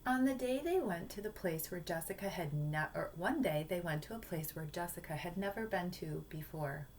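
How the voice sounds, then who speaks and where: A woman's voice: lowish voice, expressive, normal speed, a little mellow, a little hiss or hum. A woman teacher reading a storybook to children expressively and explaining it in simple phrases.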